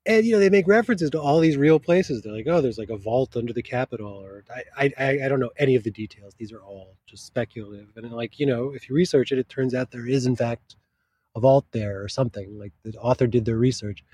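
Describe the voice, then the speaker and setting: Guy with a husky voice, translator type, thinks out loud and restarts a lot, dry close sound. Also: mid-range voice, fast, dry recording. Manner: thoughtful, searching for words mid-sentence. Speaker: literary translator. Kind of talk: casual interview talk.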